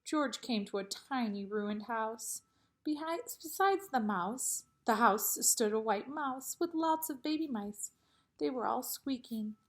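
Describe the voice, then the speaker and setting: A woman's voice: on the high side, animated, a little slow. A woman narrating a children's picture book expressively, like a teacher reading aloud.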